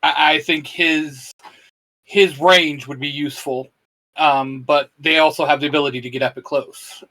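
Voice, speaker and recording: Bright-voiced man in fan chat. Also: fairly high pitch, steady tone, moderate pace, low-quality recording. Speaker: co-host. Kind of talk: casual fan discussion.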